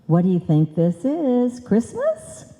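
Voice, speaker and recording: A woman's voice: mid-range voice, wildly swooping pitch, slow, dark tone. An actress playing a scatterbrained, bossy married woman full of muddled logic and malapropisms in a live old-time radio comedy. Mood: breezy, scheming. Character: scatterbrained, bossy married woman hatching a money-making scheme. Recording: live hall recording, long reverb.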